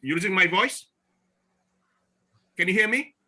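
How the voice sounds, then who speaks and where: A man from Borneo gives a slide talk over a video call, his voice very bright and forceful. He speaks haltingly, doubling back on his words and filling gaps with hesitations, a touch higher than most men. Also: lively intonation. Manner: halting, with frequent restarts and fillers. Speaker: forum speaker presenting slides. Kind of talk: online presentation talk.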